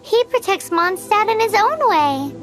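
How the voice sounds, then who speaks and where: A woman voicing a tiny, childlike fairy companion in an anime-style game, squeaky and exclamatory. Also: lively intonation, a little slow, music in the background. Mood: excitable.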